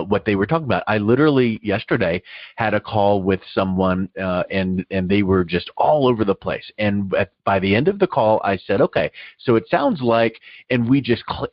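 A man's voice: on the low side, no room sound. A man talking casually as the host of a radio interview. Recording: dry, thin phone-like sound.

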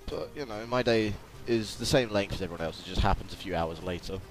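A man's voice: lowish voice, quick pace. A tired young man giving casual, rambling, informal commentary on a video game. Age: young adult. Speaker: gameplay commentator. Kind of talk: casual let's play commentary. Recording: game music and effects loud behind. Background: video game music and sound effects.

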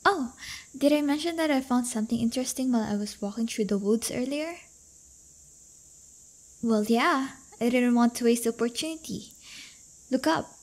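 Woman playing a cheeky high-voiced teenage delinquent girlfriend. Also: normal speed, long pauses, lightly acted. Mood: playful, teasing. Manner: slangy, teasing, casual. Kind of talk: audio roleplay acting, intimate one-sided dialogue.

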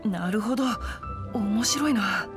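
A woman's voice: medium pitch, slow, over music. A woman voicing a courteous, righteous, bookish teenage boy in an anime game, composed and polite. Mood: pleased. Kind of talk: Japanese anime game dubbing.

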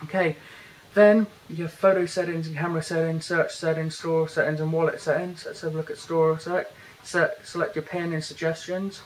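Flat-voiced British man, hesitant tech walkthrough. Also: on the high side, moderate pace, few pauses, low-quality recording. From Britain. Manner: hesitant, many fillers and restarts. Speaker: tech reviewer. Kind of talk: casual hands-on product walkthrough. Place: indoors, bedroom.

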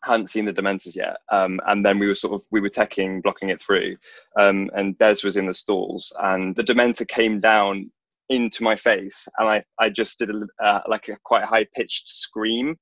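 A young man on a video call telling a casual anecdote, halting and correcting himself as he goes. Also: on the low side, flat delivery, a bit bright, no echo. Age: young adult. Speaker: stage actor on a panel. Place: attic room at home, video call.

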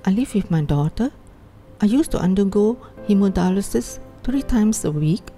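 Older woman patient, mellow voice. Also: mid-range voice, lively intonation, moderate pace, faint music behind. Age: 70s. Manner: simple, direct statements. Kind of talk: interview testimony. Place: home.

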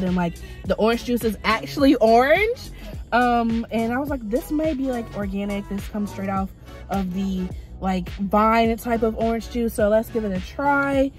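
A woman's voice: mid-range voice, darkish tone. A young woman vlogging casually to a handheld camera from her car, bubbly and full of "like" fillers. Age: young adult. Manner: chatty, bubbly, many "like" fillers. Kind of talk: casual vlog chat to camera. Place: inside a parked car. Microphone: camera held at arm's length.

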